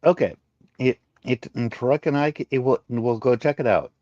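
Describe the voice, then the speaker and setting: A man voicing a brisk, decisive female field agent in a sci-fi story. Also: mid-range voice, darkish tone, close to an everyday voice. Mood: businesslike. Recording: muffled, roomy home recording.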